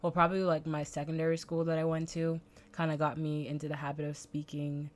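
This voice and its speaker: Low-voiced young woman, faint Bahamian lilt. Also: flat delivery, a little fast. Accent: light Bahamian. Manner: precise, careful diction with chatty fillers. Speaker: podcast co-host. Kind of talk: casual chat.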